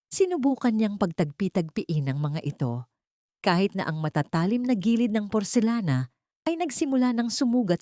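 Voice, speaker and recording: Female audiobook-style narrator, lowish pitch, dramatic scripted delivery, dry recording. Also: warm tone, dry recording.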